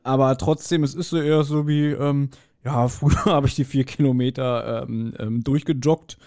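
A man co-hosting a podcast, casually discussing and speculating at length with pauses and self-corrections. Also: medium pitch, fairly even intonation, a little mellow. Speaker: podcast co-host. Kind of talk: casual audio drama discussion.

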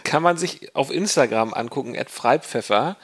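A man at an ordinary mid pitch, co-hosting a relaxed football podcast. His talk is loose and chatty, stumbling through restarts and fillers as he trades banter with his partner. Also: normal speed, slightly rough. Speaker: podcast co-host. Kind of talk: casual football podcast chat.

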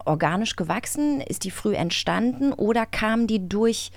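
A woman's voice: mid-range voice, animated, normal speed, vocal fry, no echo. A woman co-hosting a podcast, asking questions in a casual conversational style. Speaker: podcast co-host.

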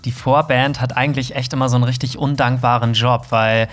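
A German man co-hosting a podcast in casual conversation. He talks in a relaxed, agreeable way and drops joking asides. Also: mid-range voice, fairly quick. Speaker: podcast co-host.

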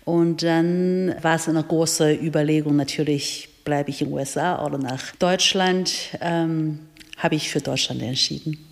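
A woman's voice: sits low, fairly even intonation, measured pace, long reverb tail. Woman. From China. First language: Chinese. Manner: simple, slightly non-native phrasing.

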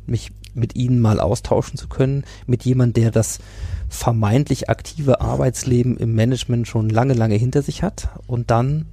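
A man in his forties speaks with a mellow, mid-pitched voice in a close, dry recording. He hosts a podcast interview conversationally, with halting phrasing that circles toward its questions. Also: fairly even intonation, husky, no echo. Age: 40s.

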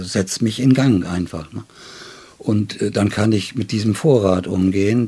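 Deep, husky older man, thoughtful. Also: a little mellow. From northern Germany. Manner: thoughtful, halting, tag questions. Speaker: writer, interview guest. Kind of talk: reflective literary interview.

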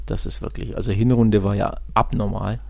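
Guy cohosting a football podcast, creaky voice, super dry sound, chatty and emphatic, keeps rewording stuff. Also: on the low side, flat delivery, a little fast, a little mellow, no echo. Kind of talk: casual football podcast discussion.

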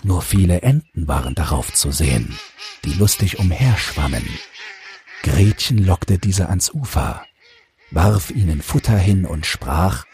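A man's voice: low voice, animated, a little slow, vocal fry, darkish tone, slight background noise. A man narrating a scripted fairy tale as an audiobook storyteller, close to the microphone. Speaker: narrator, podcast host.

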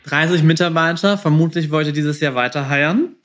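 A man's voice: on the high side, brisk, fairly bright tone, faint music behind. A man hosting a podcast in casual interview chat, colloquial and direct, mixing in English business slang. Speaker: podcast host.

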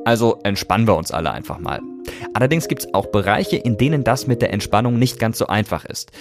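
Low-voiced male host over faint music. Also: animated, fairly quick. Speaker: podcast host. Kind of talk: scripted narration and interviewing.

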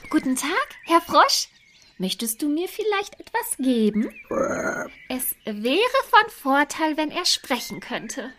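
A woman's voice: sits high, sing-song, takes it slowly, sharp tone, slight background noise, heavily acted. A woman playing a dreamy, romance-struck young princess in a fairy-tale radio play, gushing and politely insistent.

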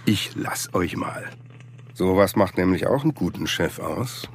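An actor playing a weary, good-natured older office boss in a comic audio drama, mild and jovial. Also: male voice, sits low, animated, a little slow, noisy background.

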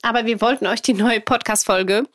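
Woman with a cold, kinda hoarse, slightly high voice, chatty co-host. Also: a little fast. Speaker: podcast co-host. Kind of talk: casual podcast chat.

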